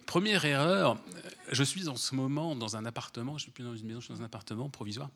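Man, guest author, has a cold, rambling and animated, lots of fillers. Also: mid-range voice, fast talker. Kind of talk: relaxed conversational talk at a public event. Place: event hall before an audience.

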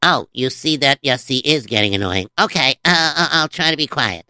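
A man doing a goofy, bright-voiced sidekick. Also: mid-range voice, animated, moderate pace, clean tone, no room sound. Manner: scatterbrained, stammering, giggly. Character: goofy interrupting male sidekick who mishears words. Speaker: comic sidekick. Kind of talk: comedic children's story show.

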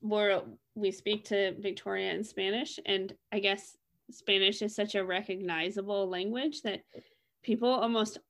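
A woman's voice: mid-range voice, steady tone, unhurried. Woman, young adult, casual conversation, reflective co-host, discussing in an easygoing spoken style. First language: English.

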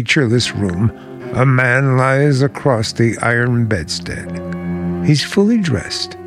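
Slow, creaky male suspense narrator. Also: mid-range voice. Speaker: radio play narrator and announcer.

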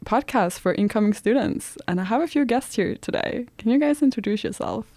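A woman's voice: a little high, lively intonation, brisk, warm tone, no echo. A young woman hosting a podcast in a casual, conversational way. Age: young adult. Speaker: podcast host and moderator.

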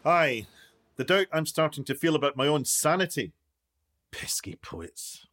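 A man's voice: on the high side, sing-song, a little slow, a bit bright. A man playing an exasperated, grumbling, self-important documentary maker in a radio play.

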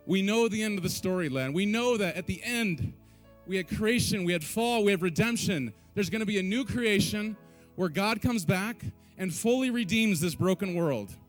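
Guy leading worship in a church, kinda high voice, soft music behind. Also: moderate pace, few pauses. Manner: energetic, call-and-response, joking asides. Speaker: worship leader. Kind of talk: church stage exhortation and prayer. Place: church sanctuary.

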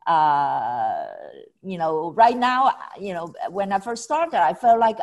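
A woman's voice: fairly low pitch, big pitch swings, slow, a little mellow. A middle-aged woman from Hong Kong, guest in a casual interview, talking with enthusiasm, many restarts and small laughs. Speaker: film festival founder, guest.

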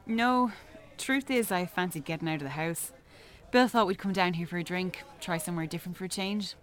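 A woman's voice: lowish voice, brisk, natural voice. An actress in a radio drama playing a polite, chatty Irish married woman who talks plainly and sociably. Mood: polite, sympathetic. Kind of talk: naturalistic radio drama acting.

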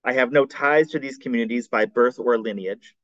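A man, a scholar reading a prepared seminar paper aloud in a measured, formal way. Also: mid-range voice, fairly bright tone, no echo. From United States. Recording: dull, dry recording.

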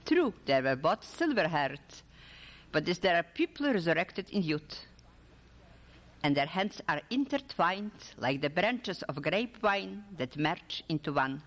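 A woman reading literary prose aloud with a dramatic, measured storytelling delivery. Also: on the low side, sing-song. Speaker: author or reader at a literary event. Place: large hall at a public literary event. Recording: echoey hall with long reverb.

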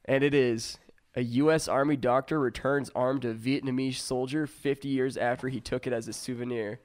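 A young man hosting a crude, casual podcast chat, leading the segments and laughing loudly between jokes. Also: mid-range voice, normal speed. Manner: loud laughing, animated, leads the segments. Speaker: podcast host. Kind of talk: crude casual group banter.

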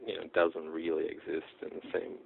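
A man's voice: low voice, completely flat delivery, fairly quick, vocal fry, husky. A middle-aged man from the Czech Republic, talking as a guest in a casual interview, hesitant and full of fillers. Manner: hesitant, many fillers and restarts. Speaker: guest, blacksmith. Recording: tinny phone-like audio.

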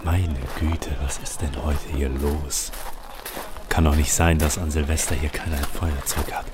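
A man's voice: rumbling low, measured pace, heavy background noise. A man who co-hosts a comedy podcast, speaking expressively in acted sketches and banter. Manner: animated, expressive. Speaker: podcast co-host and sketch voice actor.

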